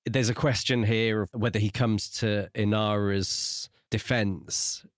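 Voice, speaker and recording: A man co-hosting a podcast, explaining a book in a measured, conversational way. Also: lowish voice, fairly even intonation, dry recording, studio quality. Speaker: podcast co-host. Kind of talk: casual book discussion.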